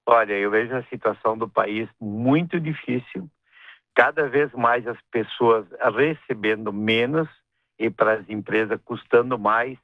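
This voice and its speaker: A middle-aged man with a gaúcho accent from southern Brazil, a businessman guest talking in a radio interview, rambling and halting with many restarts and tag questions. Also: mid-range voice, no room sound. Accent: Gaúcho Portuguese. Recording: tinny phone-line radio sound.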